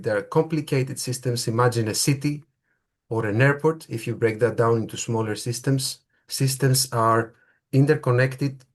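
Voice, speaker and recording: A middle-aged man gives an academic talk. He speaks in a mid-range voice, thoughtfully and with some hesitation. He often pauses and restarts his phrases as he works through his ideas. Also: mid-range voice. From Greece. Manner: thoughtful, halting, with many fillers and restarts. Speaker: lecturer.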